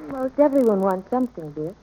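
A woman playing a patient, gently reasoning ranch mother in a 1940s radio drama, thoughtful and measured. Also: a little high, expressive, poor audio. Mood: gentle, thoughtful. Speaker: actor in radio play.

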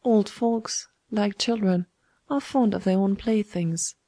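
A woman's voice: medium pitch, takes it slowly, mellow, no room sound. A woman voicing a sly, domineering old woman schemer in a storybook, with a taunting, wheedling manner. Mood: wheedling, gloating. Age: older.

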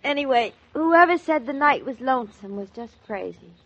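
Bubbly playful young wife, highish voice, dull old radio recording. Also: female voice, expressive, normal speed, fairly bright tone, full character voice. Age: young adult. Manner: breathless, eager, playful. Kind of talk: 1940s radio acting.

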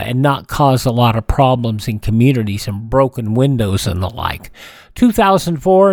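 An American man hosting a radio show, reading a history rundown informally, with halting asides. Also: mid-range voice, darkish tone, no echo, studio quality. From Kansas, United States.

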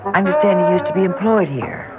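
A woman's voice: on the low side, fast, loud music behind the voice, natural voice. An actress playing a polite, earnest young female welfare worker, persistent but hesitant, in a 1960s radio drama. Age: young adult. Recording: muffled vintage 1960s radio recording.

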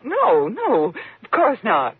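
Frightened woman, faltering, husky, agitated, vintage radio suspense acting, muffled tinny recording. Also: on the high side, huge pitch swings, slow pace, no room sound, full character voice. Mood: nervous, evasive. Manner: faltering, agitated, breathy gasps. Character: nervous, fearful woman trapped with a menacing man. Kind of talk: 1950s radio thriller acting.

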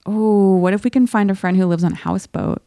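Female co-host, American, average pitch, playful casual conversation, dry recording. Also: fast, vocal fry, mellow, dry recording. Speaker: podcast co-host. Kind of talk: casual chatty banter.

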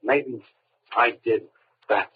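Husky, jumpy radio gangster. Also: male voice, medium pitch, slow pace. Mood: nervous, defensive. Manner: slangy, agitated, blurting. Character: jumpy, tough male gangster cracking under pressure. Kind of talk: 1930s radio crime drama acting. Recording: muffled vintage radio recording.

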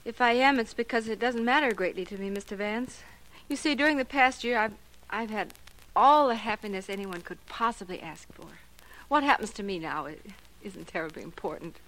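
A woman's voice: medium pitch, a little fast, fairly bright tone, natural voice, rough recording. An actress playing a plain, resigned woman of thirty-four in a 1940s radio drama, confiding in hesitant, stammering phrases. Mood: resigned, calm.

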